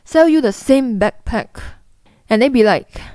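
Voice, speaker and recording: A woman's voice: on the high side, animated, measured pace, dark tone, no room sound. A young woman with a Singaporean accent, explaining investing mistakes to camera in a blunt, chatty way. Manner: blunt, chatty, mimics people in quotes. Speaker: investing vlogger.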